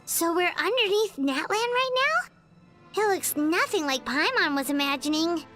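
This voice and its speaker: A woman's voice: animated, unhurried, bright, forceful tone, full character voice. A woman voicing a small, chatty, childlike fairy companion who is easily spooked, in a video game. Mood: curious. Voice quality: childlike, squeaky. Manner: speaks of herself in third person. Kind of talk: English video game voice acting. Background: music.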